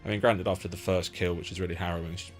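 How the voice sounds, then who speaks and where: A man's voice: low voice, level tone, racing. A British man interviewing in a casual, informal and opinionated way, speaking with enthusiasm. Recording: lo-fi amateur setup with faint music.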